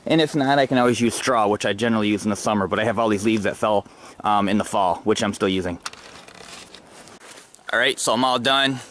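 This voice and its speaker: An American man giving casual, halting commentary as he thinks aloud, recorded outdoors on a handheld camera. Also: on the low side, a bit bright. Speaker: gardening vlogger. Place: outdoors in a snowy fenced backyard. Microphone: handheld camera at arm's length. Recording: clear outdoor background noise.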